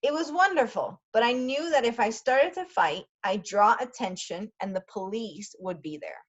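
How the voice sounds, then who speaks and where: A woman's voice: on the high side, animated, moderate pace. Woman teacher reading aloud, dull lo-fi sound. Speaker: literacy teacher reading aloud to English learners. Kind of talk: read-aloud lesson with quick explanatory asides.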